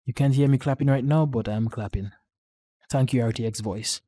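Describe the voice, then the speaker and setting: A man hosting a casual software tutorial for a gaming channel, explaining step by step in an informal, halting, rambling way. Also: medium pitch.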